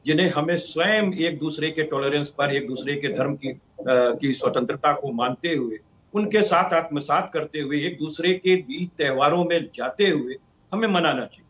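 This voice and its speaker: A high-voiced man argues as a party spokesman. He speaks like an orator in long, piled-up sentences, and the remote connection is dull. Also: normal speed, fairly bright tone. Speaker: political party spokesman, debate guest. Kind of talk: political debate argument. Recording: dull, band-limited remote feed.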